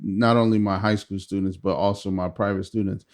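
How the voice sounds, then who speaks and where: A man hosting a podcast interview who also teaches voice, talking in a relaxed, affirming way and thinking aloud. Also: low-pitched, a little fast. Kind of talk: casual interview conversation.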